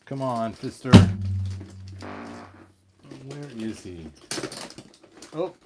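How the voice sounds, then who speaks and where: A man's voice: medium pitch, lively intonation, very slow, loud music behind the voice. A man chatting casually and playfully as he hosts a hobby video, often muttering to himself as he handles toys. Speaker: toy collector hosting a story-time video. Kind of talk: casual chat while handling action figures.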